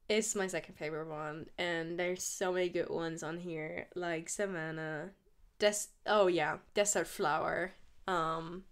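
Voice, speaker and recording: A woman hosting a music podcast alone, rambling and enthusiastic, with lots of fillers. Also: on the low side. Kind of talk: casual solo podcast chat.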